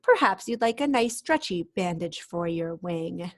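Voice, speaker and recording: A woman's voice: fairly low pitch, animated, a little slow, dry recording, studio quality. A woman voicing a kind, helpful little girl in a storybook, speaking sympathetically. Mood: sympathetic. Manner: sympathetic, offering help in rhyme.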